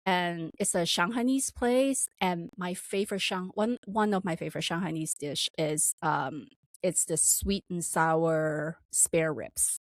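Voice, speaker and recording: Podcast co-host, woman, slight accent, lowish voice, dry close sound, lively anecdote teller. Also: unhurried, dry recording. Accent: slight non-native accent. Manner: animated storytelling with laughs and acted-out quotes. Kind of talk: casual storytelling chat.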